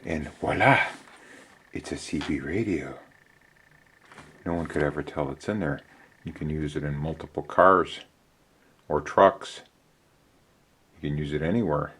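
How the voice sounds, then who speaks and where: A middle-aged man giving a casual hands-on how-to demonstration in an unhurried, conversational way with hesitant pauses. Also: extremely low pitch, fairly bright tone. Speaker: DIY channel host. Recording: clear background noise.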